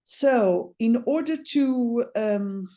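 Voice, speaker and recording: A Portuguese woman for whom English is a second language delivers an academic lecture over a video call. Her voice sits a little high and sounds mellow. She reads from notes and stumbles over words, often restarting. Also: lively intonation. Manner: halting, many restarts. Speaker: guest lecturer. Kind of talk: academic talk read from notes.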